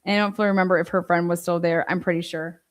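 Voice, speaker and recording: A woman's voice: lowish voice, little pitch movement, very fast. A woman giving informal true-crime commentary, reading from a transcript and breaking in with pointed, skeptical asides and rhetorical questions.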